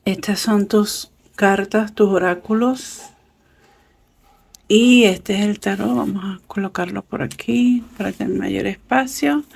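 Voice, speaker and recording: A woman giving a casual tarot reading, chatty and affectionate, with many fillers and soft laughs. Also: medium pitch, creaky. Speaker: tarot reader. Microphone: camera set up over a table with cards.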